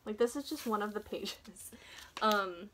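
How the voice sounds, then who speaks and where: A woman's voice: mid-range voice, a little slow, background noise. A young woman talking casually in a chatty, self-deprecating way, like a vlogger sharing advice. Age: young adult.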